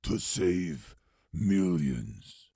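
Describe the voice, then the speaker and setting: A grim, commanding warlord from a video game. The voice is very deep and husky, rolling out slowly and dryly with menace. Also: male voice, animated, dark tone, no room sound, studio quality, full character voice. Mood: grim, resolute. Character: grim, commanding warlord, father of a grown son. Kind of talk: dramatic game cutscene acting.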